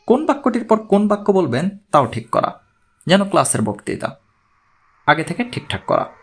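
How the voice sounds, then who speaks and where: A man's voice: high voice, very animated, normal speed, breathy edge. A man reading a story aloud as an audiobook narrator. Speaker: audiobook reader. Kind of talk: scripted story narration with acted dialogue.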